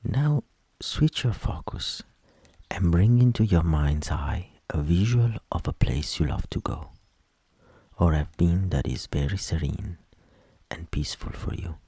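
A man leading a guided meditation in a soft, soothing voice with gentle instructions that trail into whispers. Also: sits low, sing-song, slightly rough, no room sound. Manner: slow, gentle instructions with long pauses.

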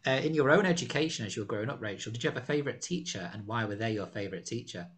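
Man, podcast host, rapid pace, lowish pitch, encouraging interviewer. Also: animated, fairly bright tone. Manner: encouraging, uses fillers. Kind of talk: interviewing a guest.

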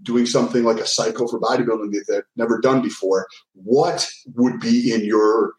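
A man hosting a podcast asks a long, meandering question, stacking fillers as he goes. His voice sits at an ordinary pitch, and the room gives it a noticeable echo. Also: fairly even intonation, normal speed, darkish tone. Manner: rambling questions with many fillers. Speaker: podcast host. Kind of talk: casual podcast interview. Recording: roomy echo.

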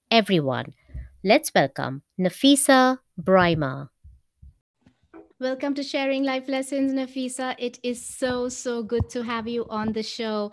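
A woman hosting a podcast interview who introduces her guest in a measured way and slows down on the words she stresses. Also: on the high side. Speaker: podcast host.

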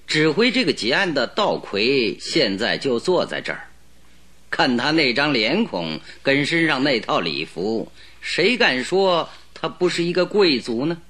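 A shrewd, self-assured detective from an old radio play. He presses and mocks with cool precision, his bright voice rising and falling in lively swings. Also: mid-range voice, measured pace, full character voice. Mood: accusing. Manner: probing, mocking, coolly pressing. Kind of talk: radio drama acting.

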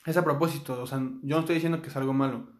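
A man's voice: medium pitch, fairly even intonation, racing, reverberant. A Mexican man co-hosting a film podcast, talking casually and rambling with lots of fillers. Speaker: podcast co-host. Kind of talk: casual chat.

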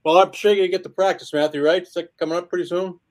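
A male co-host with a slightly higher voice keeps things breezy on a talk show. He delivers a sponsor read in an upbeat, friendly tone and teases his guests. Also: steady tone, rapid-fire.

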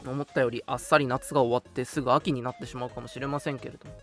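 A young man hosting a casual live radio chat, talking politely and chattily with soft hedges between announcements. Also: medium pitch, level tone, rapid-fire, faint music behind. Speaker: radio show host.

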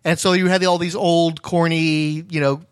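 A man's voice: fairly high pitch, little pitch movement, moderate pace, smooth, no room sound, clean studio sound. A man hosting a casual comic-book chat, explaining things in knowledgeable detail. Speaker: podcast host interviewing a friend.

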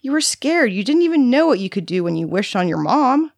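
A woman voicing a patient female carer who coaxes and soothes a volatile child, explaining things deliberately in a narrated story. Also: on the high side, big pitch swings, creaky, no echo, studio quality, pitched above the performer's own voice, heavily acted. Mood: gentle, insistent.

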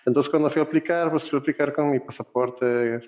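A man from Costa Rica hosting a relaxed interview, chatty and quick to laugh, slipping in English phrases. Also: on the high side, phone-quality sound. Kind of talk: casual conversational interview.

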